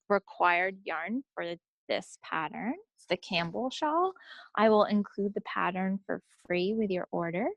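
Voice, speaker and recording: Middle-aged woman, podcast host, gravelly fry, close dry sound, casual halting delivery. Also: medium pitch, no room sound. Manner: informal, halting, circles back to rephrase. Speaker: podcast host presenting yarn picks. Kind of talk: casual product talk.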